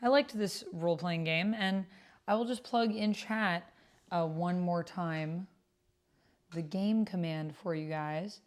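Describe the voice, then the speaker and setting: Female stream host with a lowish voice, chatty, informal delivery aimed straight at viewers. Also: slow. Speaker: livestream host. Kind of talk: casual chat with livestream viewers.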